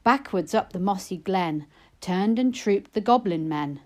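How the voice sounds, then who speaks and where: A woman recites narrative verse at a slow pace. Her delivery is expressive and measured, like storytelling, in a mid-range female pitch. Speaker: poetry reader.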